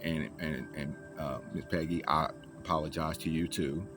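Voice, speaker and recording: A man's voice: rumbling low, steady tone, moderate pace. A young man telling his life story in a rambling, profane, casual way, with many restarts. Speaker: vlogger. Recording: loud music playing behind the voice.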